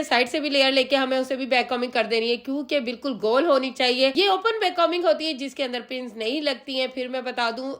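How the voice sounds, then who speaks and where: A woman talks through a hair styling tutorial, walking viewers through each step and repeating the important ones. Her voice is hoarse from a sore throat and sits a little high. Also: a bit bright. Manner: patient, instructive, repeats key steps. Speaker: hairstylist and salon channel host.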